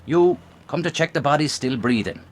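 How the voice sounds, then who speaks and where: A man's voice: a little high, animated, normal speed, slight background noise, natural voice. A man playing an older, defeated veteran policeman, bitter and terse, in a noir radio drama. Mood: bitter resignation.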